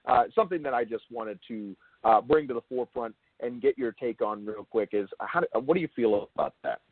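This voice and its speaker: A man's voice: medium pitch, a little fast, breathy edge, no room sound. A man hosting a casual interview, often repeating and rephrasing himself as he works toward his point. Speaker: show host interviewer. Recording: narrow phone-like call line.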